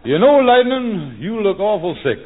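An actor playing a scheming, smooth-talking lodge leader in a folksy, wheedling, mock-solicitous manner, in 1940s radio comedy style. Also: male voice, sits high, very animated, normal speed. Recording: narrow, tinny vintage radio recording.

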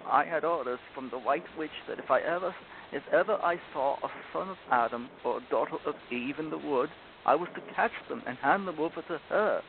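A storybook fawn, voiced by a man, full of guilt and remorse. He confesses in a plaintive, tearful, drawn-out way with a fairly high pitch. The sound is thin and phone-like, with noise behind it. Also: vocal fry. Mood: guilty confessing. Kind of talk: storybook reading in character. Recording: tinny phone-like audio with loud noise.

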